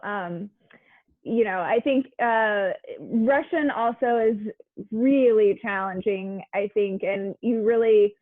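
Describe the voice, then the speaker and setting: A woman hosting an interview over a video call, enthusiastic and quick to laugh. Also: mid-range voice, no echo. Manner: warm, giggly, enthusiastic. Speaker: interview host. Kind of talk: conversational interview. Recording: dry tinny call audio.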